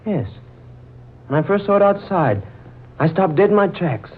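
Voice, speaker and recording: A man's voice: sits high, sing-song, moderate pace, breathy edge, full character voice. An actor playing a courteous, puzzled man, hesitant and musing, in a 1940s radio drama. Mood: awed musing. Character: courteous, puzzled gentleman haunted by odd familiarity. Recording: muffled, crackly old 1940s radio recording.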